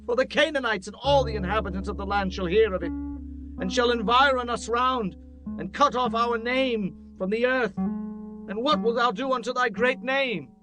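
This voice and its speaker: Man acting a high-voiced, declamatory biblical leader. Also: moderate pace. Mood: anguished pleading. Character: Joshua, anguished pious Israelite leader, solemn. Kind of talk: dramatized scripture audiobook acting. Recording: music behind the voice.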